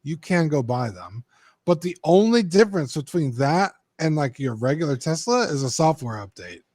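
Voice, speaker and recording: A young man talks loosely on a hobby podcast, filling gaps with ums and dropping the odd curse. His voice sits on the higher side for a man. The sound is close and dry, with no room around it. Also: sing-song, normal speed, darkish tone, dry recording. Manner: loose, rambling, many ums and swears. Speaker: co-host. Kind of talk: casual podcast chat.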